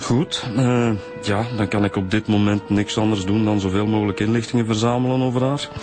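Flemish police inspector, played by a male actor: lowish male voice, patient, methodical, informal, radio drama with music underneath. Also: fairly even intonation, fairly quick, natural voice. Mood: calm, probing. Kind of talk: naturalistic radio drama acting. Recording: music behind the voice.

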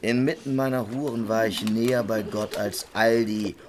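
An actor in a radio drama playing a boastful, lewd Dutch brothel keeper who tells stories in a theatrical, bragging way. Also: male voice, on the low side, steady tone, normal speed, lightly acted. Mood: self-satisfied, bragging. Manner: theatrical, bragging, chuckling storyteller.

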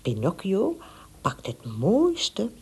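Female narrator, children's storybook, husky breathy tone, animated intonation. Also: mid-range voice, slow pace.

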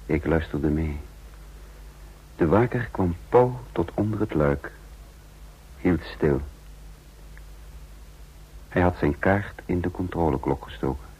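Deep-voiced, terse covert team chief. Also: male voice, slow, pauses often, darkish tone, husky, heavily acted, rough recording. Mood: narrating, tense. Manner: terse, clipped, controlled. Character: commanding, careful male chief of covert break-in team. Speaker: narrator and lead character. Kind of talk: radio drama acting and narration. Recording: radio drama with faint background noise.

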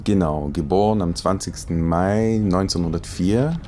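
A middle-aged man from Israel speaking in a halting, conversational way, as in a documentary dialogue. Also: low-pitched, background noise. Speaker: radio producer searching for relatives.

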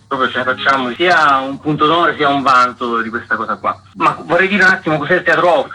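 A man's voice: medium pitch, pushed and bright, slightly rough, poor audio. A man speaking as an interview guest, giving spontaneous explanatory answers as a festival director.

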